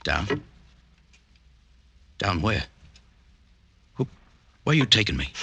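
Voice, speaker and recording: An actor playing a bitter, resentful working-class factory partner in an old radio detective drama, blunt and aggrieved. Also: male voice, fairly low pitch, very animated, slow pace, sharp tone, exaggerated voice. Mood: alarmed. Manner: aggrieved, blunt, ungrammatical working-man speech. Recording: husky-sounding old radio broadcast.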